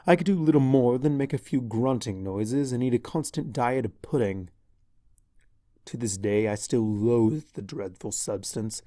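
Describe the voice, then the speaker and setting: Mellow, lovestruck henchman storyteller, read by a man. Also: medium pitch, dry recording, studio quality, natural voice. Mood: wry, wistful. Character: lovestruck villain's pony henchman recounting his past. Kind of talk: first-person scripted story narration.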